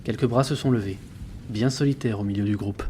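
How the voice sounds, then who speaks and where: An actor playing a troubled, earnest sailor who reads out his logbook in a grave, measured way for an audio drama. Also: lowish voice, warm tone, a little hiss or hum, natural voice. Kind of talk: dramatic diary narration in French audio fiction.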